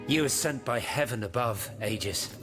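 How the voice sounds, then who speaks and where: A man's voice: medium pitch, animated, normal speed, lightly acted. An actor playing a genteel, pious nobleman in a video game, courteous and stately. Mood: solemn, hopeful. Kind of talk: video game cutscene acting. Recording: game music behind.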